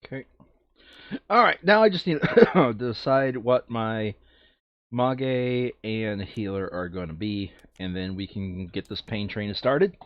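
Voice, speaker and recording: A man with a fairly low voice talks casually as he plays a game. He often mutters to himself and hesitates while deciding. The sound is very dry and slightly muffled. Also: takes it slowly, no room sound. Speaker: player setting up a co-op board game campaign. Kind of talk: casual gaming chat, thinking aloud.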